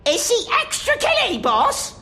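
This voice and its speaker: Tiny game goblin lackey: high, eager, servile, in an echoing noisy hall. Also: male voice, huge pitch swings, unhurried, bright, forceful tone, exaggerated voice. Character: small, squeaky, eager goblin underling. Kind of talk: video game cutscene acting. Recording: echoey hall with noisy surroundings.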